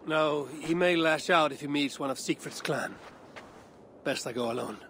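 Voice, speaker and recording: An actor playing a gruff, shrewd Viking warrior who speaks in a measured, commanding way in a video game. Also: male voice, fairly high pitch, a bit bright. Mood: firm. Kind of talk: video game dialogue acting. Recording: clear background noise and ambience.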